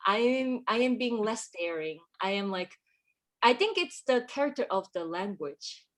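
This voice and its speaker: A woman talks through her thoughts slowly and reflectively, pausing with fillers as she searches for the right English words. Her voice sits at an ordinary middle pitch for a woman. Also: animated. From Korea. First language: Korean. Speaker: composer, interview guest. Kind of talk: casual conversational interview.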